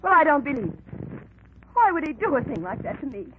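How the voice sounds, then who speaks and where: A pampered, flirtatious society wife in a 1940s radio melodrama. Her delivery is gushing and dramatic, swinging widely in pitch, on a thin, noisy vintage broadcast. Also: sing-song, quick pace, lo-fi sound. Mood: overwrought, distressed.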